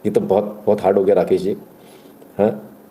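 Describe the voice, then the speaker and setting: A man's voice: on the low side, level tone, normal speed, warm tone, low-quality recording. A man hosting live news commentary, speaking emphatically and colloquially with rhetorical questions and bits of English mixed in. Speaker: live commentary show host.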